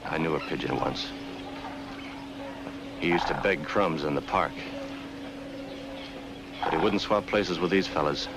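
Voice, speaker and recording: A moody, guarded young man from a 1950s crime film. His voice is very deep with a gravelly creak. He speaks in cool, clipped lines over a noisy old soundtrack. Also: a little slow, pauses often, full character voice. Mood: brooding. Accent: American. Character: aloof, moody young drifter with a guarded heart. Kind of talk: 1950s film noir acting, laconic.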